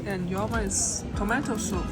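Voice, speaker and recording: A woman's voice: medium pitch, steady tone, moderate pace. A woman chatting casually to the camera as a travel vlogger. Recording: loud music and crowd noise behind.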